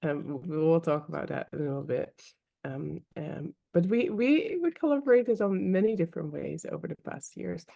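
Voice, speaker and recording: A woman hosting an interview podcast, talking casually and hesitantly, with many pauses and repeated words. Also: low-pitched, animated, vocal fry, warm tone, no room sound, studio quality. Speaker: podcast host.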